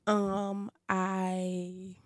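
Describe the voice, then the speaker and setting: A woman's voice: medium pitch, monotone, very slow. A woman from Brooklyn, co-hosting a faith podcast, bubbly and giggly, punctuating points emphatically. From Brooklyn, New York. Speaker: podcast co-host, singer. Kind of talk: casual chat.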